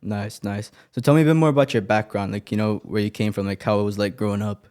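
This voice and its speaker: A man with a low voice hosts a casual podcast interview. He asks questions in a relaxed way and keeps the talk moving with short replies like "yeah, nice.". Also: fast talker. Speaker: podcast host.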